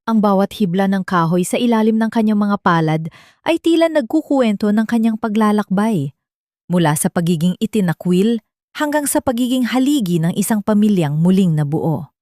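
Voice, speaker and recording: A woman reading a scripted dramatic story in a measured, sentimental, literary manner. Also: mid-range voice, warm tone, no echo, studio quality. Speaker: narrator of audio love stories.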